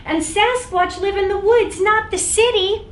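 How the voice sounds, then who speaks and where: A woman's voice: very high voice, measured pace, fairly bright tone. An American woman hosting an interactive story time for young children, speaking simply and with lots of animation. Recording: roomy echo, some background noise.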